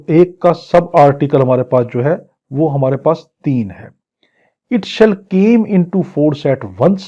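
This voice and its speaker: Dull-sounding mellow Pakistani man lecturing. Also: a little high. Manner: didactic, repeats phrases and poses questions then answers them. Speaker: law lecturer. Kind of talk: exam-prep lecture, reading English legal text and explaining it in Urdu.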